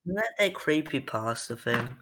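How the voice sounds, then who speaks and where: A man with an accent others mistake for British, co-hosting a casual podcast in an excitable, rambling way. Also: mid-range voice, moderate pace. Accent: non-American accent others take for British. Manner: chatty, excitable, lots of "like" and "bro". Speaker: podcast co-host.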